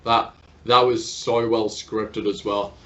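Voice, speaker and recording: A man's voice: on the low side, flat delivery, slow, fairly bright tone. A man with an Australian accent, interviewing in a casual, chatty and opinionated way.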